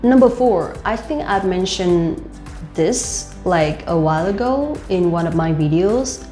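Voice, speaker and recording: A woman's voice: on the low side, expressive, a little slow. A young woman with a Vietnamese accent gives casual speaking tips, talking loosely with many "like" fillers. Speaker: host and life coach. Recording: roomy with faint music.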